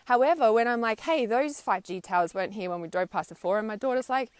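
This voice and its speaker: A woman in her thirties talks in a casual, emphatic monologue outdoors, leaning on her points with little tags. Her voice sits a bit high and sounds smooth and clear. The sound is close and dry, with almost no room around it. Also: lively intonation, fast, no room sound. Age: 30s. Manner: chatty, emphatic, frequent "right?" and "okay?" tags, laughs often. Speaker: spiritual vlogger. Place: outdoors, wind audible.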